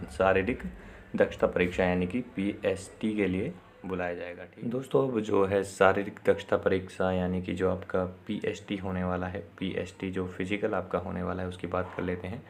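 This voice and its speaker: A man giving an informal instructional explainer, addressing viewers directly and often pausing on short confirmations. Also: sits low, slight background noise. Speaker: presenter of an exam and job information video.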